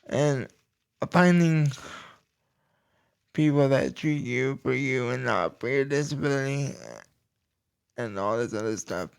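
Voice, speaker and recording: A young man hosting his own podcast, talking slowly and deliberately about everyday life, with pauses and restarts. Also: medium pitch, creaky, clear, resonant tone. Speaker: podcast host. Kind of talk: casual personal talk.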